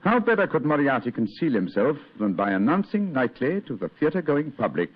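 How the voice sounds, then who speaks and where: A brisk detective in an old radio play, husky voice, swoops around, muffled recording. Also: male voice, mid-range voice, sing-song. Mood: explaining, confident. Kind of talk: 1940s radio drama acting.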